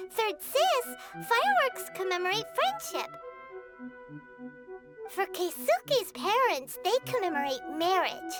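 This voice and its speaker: A woman voicing a tiny, chatty, excitable childlike companion in a video game, with a squeaky voice and perky, exaggerated delivery. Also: full character voice. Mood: thoughtful. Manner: perky, exaggerated, refers to self by name. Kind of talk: video game character voice acting. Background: background music.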